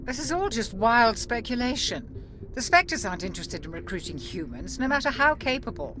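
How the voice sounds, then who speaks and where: A woman acting a cautious, sensible military doctor in a video game, speaking in a measured, reproving, matter-of-fact way. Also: on the high side, sing-song, noisy background. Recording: loud music behind the voice. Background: music.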